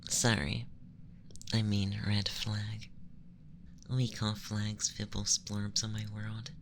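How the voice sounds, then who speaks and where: An ASMR performer voicing a calm, condescending young male alien abductor in a breathy, often whispered, gentle and formal monologue. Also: mid-range voice, slow, noisy background. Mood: apologetic. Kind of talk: ASMR roleplay, scripted monologue.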